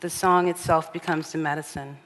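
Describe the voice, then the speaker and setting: A woman with a deep, low voice gives a measured lecture from a theater stage, stopping often on ums. Her voice rings with long hall reverb over a faint hiss. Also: fairly even intonation, fairly bright tone. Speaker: artist giving a conference talk. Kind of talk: lecture with slides. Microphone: reading at a lectern.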